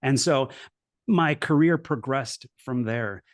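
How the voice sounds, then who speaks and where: A middle-aged man from Los Angeles speaks earnestly and reflectively, often pausing to restart his sentences. His voice sits in a middle pitch and is recorded close and dry, with no room sound. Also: dry recording. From Los Angeles, USA. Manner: earnest, reflective, with frequent restarts and "you know". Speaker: guest, coach and former professor. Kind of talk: conversational interview storytelling.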